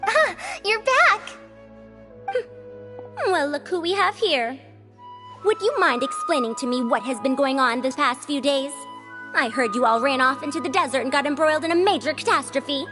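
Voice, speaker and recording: A woman voicing spirited, expressive female characters in a fantasy adventure video game. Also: sits high, normal speed, close to an everyday voice. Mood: excited. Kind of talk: animated video game voice acting. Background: background music.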